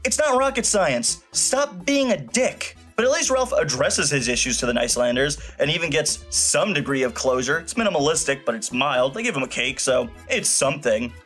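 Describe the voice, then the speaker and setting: Snarky, higher-pitched man reviewing a film. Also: lively intonation, moderate pace, few pauses, a bit bright, soft background music. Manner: snarky, blunt, emphatic. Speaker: video reviewer. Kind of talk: scripted comedic film review commentary.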